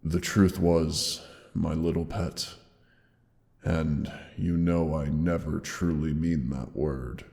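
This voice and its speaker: A man voicing a wounded, dying ancient dragon who speaks to his beloved with slow, weary tenderness. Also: fairly even intonation, darkish tone, in a large hall, full character voice. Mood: tender, pained. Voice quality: deep gravelly voice. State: wounded, pained. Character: dying ancient male dragon, gentle and loving. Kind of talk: audio roleplay drama.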